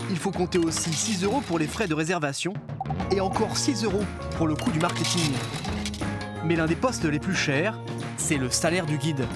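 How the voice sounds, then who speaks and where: A man reading scripted reportage narration as the voice-over of a documentary. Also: a little high.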